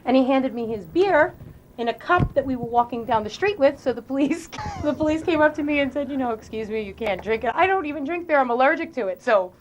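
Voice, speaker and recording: A woman's voice: high-pitched, animated, fast, slight background noise, low-quality recording. A woman co-host telling a funny story with lots of laughter.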